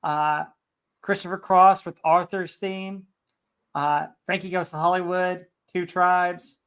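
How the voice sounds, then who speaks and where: A middle-aged man hosting a podcast, chatting casually and reading out a list with relaxed "uh"s between items. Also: sits high, slow, clear, resonant tone. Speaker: podcast host.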